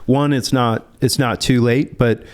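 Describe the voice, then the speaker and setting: A man's voice: medium pitch, steady tone, measured pace, darkish tone. A man speaking as a financial advisor in a conversational interview, explaining step by step and posing rhetorical questions.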